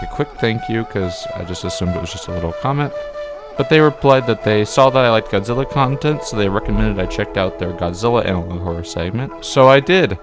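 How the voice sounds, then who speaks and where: A man narrating a scripted video essay review as the channel's host, with emphatic, wordy phrasing that leans on repeated words. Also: mid-range voice. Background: background music.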